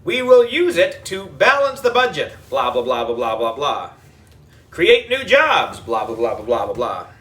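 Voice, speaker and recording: A man's voice: mid-range voice, huge pitch swings, measured pace, fairly bright tone, recorded from a distance. A man voicing a pompous, long-winded mayor in a children's audiobook, declaiming like a politician at a podium. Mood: speechifying. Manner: declamatory, droning political speechmaking. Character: pompous, long-winded male town mayor making speeches.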